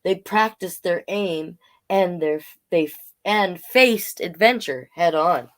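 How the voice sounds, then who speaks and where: A woman hosting a storytime, reading a picture book aloud with chatty, gently encouraging asides to listeners. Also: on the low side, lively intonation, slow. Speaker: children's storytime reader and host.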